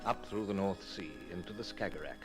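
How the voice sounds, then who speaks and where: Calm, wry naval commander male actor, low and formal, old radio adventure with prominent music. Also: a little fast, natural voice. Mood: grave narration. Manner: crisp, formal, literary. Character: calm, wry British naval commander narrating his voyage. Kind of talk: old-time radio drama acting.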